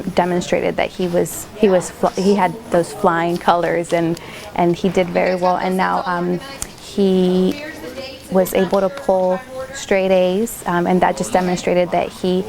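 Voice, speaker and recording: Flat, low-voiced school coordinator woman. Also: gravelly. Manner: measured, with fillers. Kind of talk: interview.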